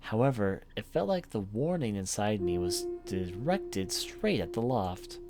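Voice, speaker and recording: A man's voice: fairly low pitch, very animated, unhurried. A man reading a horror visual novel aloud as a let's player, in dramatic first-person story narration. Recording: music behind the voice. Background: game music.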